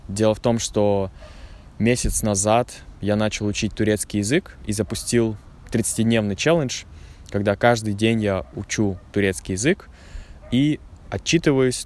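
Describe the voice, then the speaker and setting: Unhurried man vlogging outdoors in a forest. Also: fairly low pitch. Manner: clear, unhurried, explanatory. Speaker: language teacher vlogger. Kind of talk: casual vlog talk to learners.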